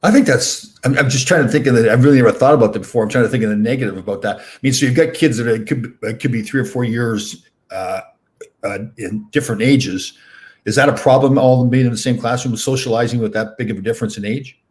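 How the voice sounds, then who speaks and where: A man's voice: medium pitch, quick pace. A middle-aged man hosting a casual interview, thinking aloud with frequent restarts and repeated words. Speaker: podcast host.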